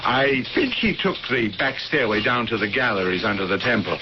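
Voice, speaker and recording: A man's voice: medium pitch, very animated, moderate pace, natural voice. An actor playing a friendly, helpful building foreman at an ancient temple in a radio drama. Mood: kindly, cautious. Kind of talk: theatrical radio drama acting. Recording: noisy, muffled old radio broadcast.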